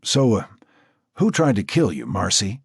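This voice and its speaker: A man doing a calm, steady protector. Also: lowish voice, creaky, breathy edge, dry recording, studio quality, exaggerated voice. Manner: quiet, measured questioning.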